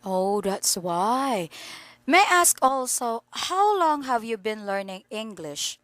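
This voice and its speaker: A woman's voice: on the high side, very animated, takes it slowly, fairly bright tone, no echo. A woman teaching English in an online lesson, asking polite questions patiently over a video call.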